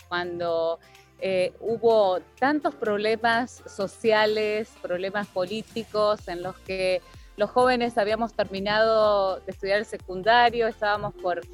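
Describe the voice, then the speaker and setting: A woman in her forties with an Argentine accent, telling her own story as an interview guest, often pausing to search for words. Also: mid-range voice. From Bahía Blanca, Argentina. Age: 40s. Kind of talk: personal storytelling in a casual interview. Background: music.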